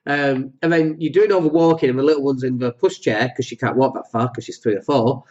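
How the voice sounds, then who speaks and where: A man hosting a solo podcast, talking with a cold that makes his voice deeper, rambling and jokey with many fillers. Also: fairly even intonation, a little fast, darkish tone, lo-fi sound. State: has a cold, voice deeper than usual. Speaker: podcast host.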